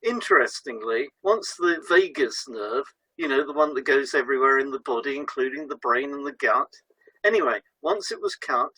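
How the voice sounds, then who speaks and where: A man's voice: medium pitch, pushed and bright, slightly rough, no room sound, poor audio. A man co-hosting an educational podcast, explaining from a script. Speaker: podcast co-host and hypnotherapist.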